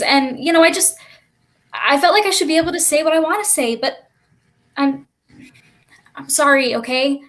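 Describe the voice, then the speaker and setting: A woman performs a child in a staged play reading. The voice sits high, and the kid is restless and defiant, badly needing a playmate. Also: a bit bright. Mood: sheepish, apologetic.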